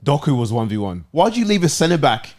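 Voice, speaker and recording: A man's voice: medium pitch, sing-song, a little fast, darkish tone. A man challenging others with questions in a casual football debate, speaking as a panelist. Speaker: football talk show panelist.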